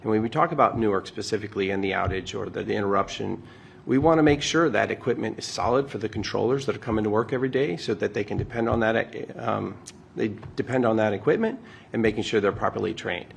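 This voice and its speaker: Gravelly male, lowish pitch, official briefing remarks, faint background noise. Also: fast talker. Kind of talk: press conference remarks. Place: press conference.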